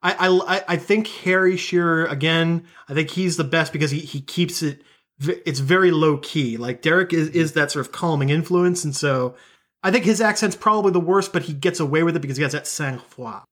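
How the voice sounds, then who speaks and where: A man's voice: a little high, fairly quick, fairly bright tone. A man chatting as a podcast guest, rambling off on tangents with self-mocking humor. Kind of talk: casual podcast chat.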